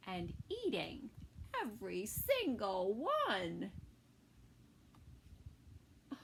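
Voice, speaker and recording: Slow, gentle woman reading to toddlers. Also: a little high, creaky, slight background noise. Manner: gentle and slow, dropping to soft asides and whispers. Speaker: preschool teacher reading aloud. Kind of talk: children's story time read-aloud.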